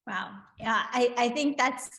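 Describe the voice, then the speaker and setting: A woman's voice: on the high side, measured pace, creaky, a bit bright. A woman hosting a live online interview in a conversational way. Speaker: host and interviewer. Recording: roomy, echoing room sound.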